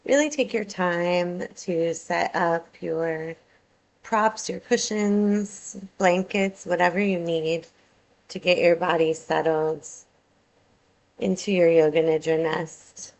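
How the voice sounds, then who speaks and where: A woman teaching yoga nidra, guiding a meditation in a calm, soothing way with measured phrases and pauses. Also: lowish voice, low-quality recording. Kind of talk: guided meditation, soothing instruction.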